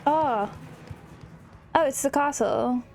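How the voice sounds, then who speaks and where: A woman hosting a gaming video, chatting casually and slowly as she reacts to the game aloud. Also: high-pitched, gravelly, a little mellow, faint music behind. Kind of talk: casual let's play commentary with dialogue read aloud.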